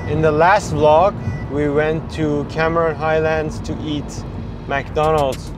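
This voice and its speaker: A man in his twenties talking casually as a vlog host, with hesitant pauses. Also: fairly high pitch, flat delivery. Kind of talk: casual road-trip vlogging. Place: mostly inside a car. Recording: music over the voice. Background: music.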